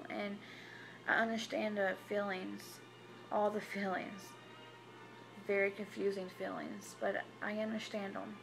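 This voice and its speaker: A woman, telling her personal story to camera in a halting, hesitant way with many restarts. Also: medium pitch, gravelly, a bit bright, poor audio. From Tennessee. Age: 20s. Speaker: vlogger. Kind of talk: personal confessional vlog.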